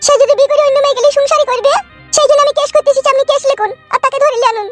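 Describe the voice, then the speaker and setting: A woman's voice: very high voice, rapid-fire, soft background music. A woman voicing an aggrieved young village wife who pleads and complains in a cartoon dub. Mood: complaining.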